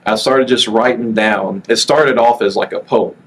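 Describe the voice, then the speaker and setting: A nineteen-year-old man talking as a guest on a podcast, relaxed, often pausing with fillers and restarting his sentences. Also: lowish voice, slight background noise, rough recording. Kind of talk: casual interview chat.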